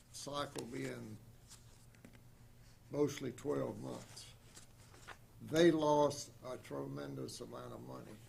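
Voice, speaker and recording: A man chairing a town board meeting, speaking in a grave, deliberate way. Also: medium pitch, creaky, a little mellow, slight background noise. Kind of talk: meeting discussion.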